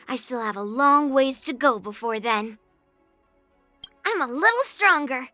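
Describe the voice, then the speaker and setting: A woman voicing a spirited, confident young girl swordswoman in a video game, brash and expressive. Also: sits high, slow, no room sound, faint music behind, exaggerated voice. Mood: proud. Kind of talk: video game character voice lines.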